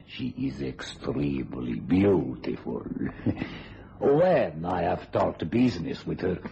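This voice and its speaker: Muffled radio drama, smug sinister magician, imperious, condescending, low register, very slow pace. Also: male voice, gravelly, a little mellow, heavily acted. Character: domineering stage magician, smug, sinister boss of a magic act. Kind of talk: radio thriller acting. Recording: muffled radio recording, faint hiss.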